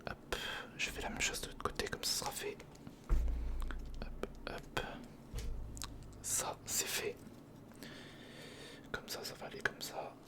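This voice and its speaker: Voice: takes it slowly, long pauses. An ASMR creator whispering informal, step-by-step commentary to the viewer while building something by hand, close to the microphone. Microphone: close recorder or studio microphone.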